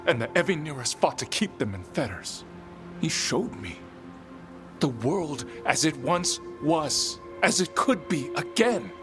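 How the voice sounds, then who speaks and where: An actor voicing a solemn, devoted elven zealot in a video game, speaking in a grave, formal and fervent way. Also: male voice, a little high, sing-song, a little slow. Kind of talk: dramatic video game voice acting. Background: music.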